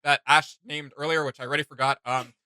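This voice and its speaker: A man with a very bright, slightly high voice hosts a reaction video. He rambles along in casual, enthusiastic fan talk, recorded dry and close. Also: flat delivery, fast, smooth, no echo. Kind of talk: casual chat.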